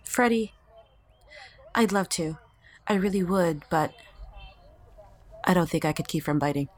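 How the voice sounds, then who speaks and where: A woman playing a cold, dangerous femme fatale in an audio drama, with a measured, cutting politeness. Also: lowish voice. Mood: veiled menace. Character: cold, dangerous, beautiful woman with veiled menace.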